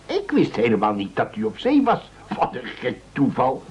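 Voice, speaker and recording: A man's voice: medium pitch, huge pitch swings, normal speed, a little mellow, breathy edge. An actor playing a polite, chatty, unflappable postman in a dull radio play recording. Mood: cheerful, matter-of-fact.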